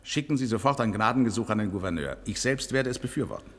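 Resigned judge in a reverberant hall. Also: male voice, on the low side, animated. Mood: bitter irony. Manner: formal, emphatic, measured. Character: resigned judge, candid and bitter about computerized justice. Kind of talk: German radio play acting.

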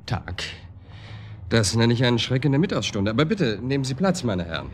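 An actor playing a formal property manager in a radio drama, polite, hedging and evasive. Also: male voice, mid-range voice, big pitch swings, fairly quick, background noise, lightly acted. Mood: courteous, uneasy. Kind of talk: naturalistic German radio drama acting.

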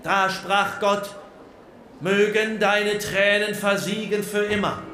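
A man's voice: high-pitched, little pitch movement, slow, pushed and bright, slight background noise, full character voice. An actor playing a sombre showman who declaims like a preacher in biblical style, in a radio drama. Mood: solemn, anguished. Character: sombre, preacher-like male showman reciting a dark parable. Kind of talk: German radio drama acting. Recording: echoey stage with music behind.

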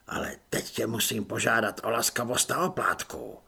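A man voicing a hoarse, patient stranger who speaks from the darkness, partly in whispers. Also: mid-range voice, fairly even intonation, bright, forceful tone, pitched below the performer's own voice, exaggerated voice. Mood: wry. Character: hoarse mysterious man hiding in the dark.

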